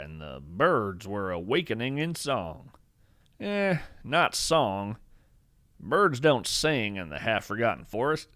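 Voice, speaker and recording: Folksy, wonder-struck naturalist host for audio drama: earnest, theatrical, highly varied pitch, dry studio sound. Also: male voice, fairly bright tone, no room sound. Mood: musing storytelling. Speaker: fictional podcast host and narrator. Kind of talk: scripted storytelling monologue.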